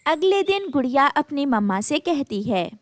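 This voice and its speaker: A woman's voice: high-pitched, very animated, moderate pace, dry recording. A woman narrating a scripted children's story as its storyteller.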